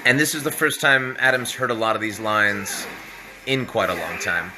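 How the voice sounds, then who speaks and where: A man's voice: lowish voice, normal speed, over music, low-quality recording. A man hosting a casual livestream from his living room while a guest plays, upbeat, laughing often and asking questions. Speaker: streamer host.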